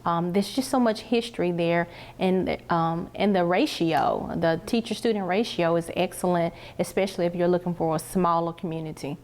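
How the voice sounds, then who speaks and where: A woman's voice: on the low side, moderate pace, few pauses, darkish tone. A woman educator giving an unscripted interview answer. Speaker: educator, college graduate.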